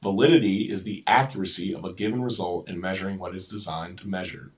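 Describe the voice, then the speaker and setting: A man's voice: low-pitched, fairly even intonation, fast, recorded from a distance. A man presenting an educational video, reading lesson notes in an informal way and often correcting himself with casual asides. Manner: informal, self-correcting, repeats words.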